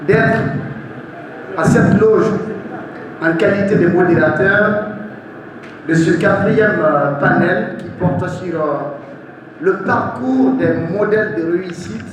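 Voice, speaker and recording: A young man with a Guinean accent speaks in a high voice as a panel moderator. His delivery is slow, formal and deliberate, addressed to a forum audience. The sound is very echoey, as in a large hall. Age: young adult. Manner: formal, deliberate, repeats phrases for emphasis. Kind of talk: public speech to a forum audience. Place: large echoey room at a live event. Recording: echoey hall, distant mic, faint noise.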